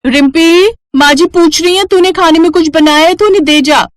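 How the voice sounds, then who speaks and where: A woman's voice: extremely high pitch, moderate pace, dry recording, clean studio sound, pitched above the performer's own voice. A woman voicing a young daughter-in-law in a cartoon family story, defensive and whining. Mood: calling out. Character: young daughters-in-law, excuse-making and squabbling housewives. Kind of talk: Hindi moral-story cartoon voice acting.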